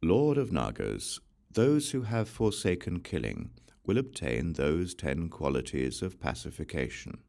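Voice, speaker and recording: A man with a remarkably deep, gravelly voice reads scripture aloud for an audiobook. His delivery is measured and solemn. His pitch still moves expressively through each phrase. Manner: measured, solemn reading with lively rises and falls. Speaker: audiobook reader.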